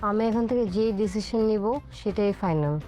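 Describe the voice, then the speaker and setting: An actress playing a resolute grown daughter in a TV family drama, with a Bangladeshi accent. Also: female voice, medium pitch, fairly quick, mellow, soft background music, lightly acted, poor audio. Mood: firm. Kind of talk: TV drama acting.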